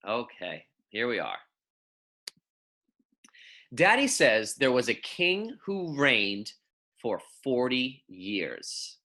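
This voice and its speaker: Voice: mid-range voice, sing-song, drawn-out words, long pauses, pushed and bright. A teacher giving a read-aloud lesson for young children in a friendly, informal, encouraging way. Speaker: elementary school teacher.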